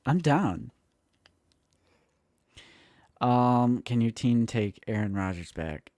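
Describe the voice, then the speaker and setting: A man in his late twenties, co-hosting a casual live stream with an animated, joking delivery. His voice sits in a middling register and sounds dry and close, with little room around it. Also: mid-range voice, a little slow, dry recording. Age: late 20s. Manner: animated and joking, acts out quotes. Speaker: co-host of a toy collecting live stream.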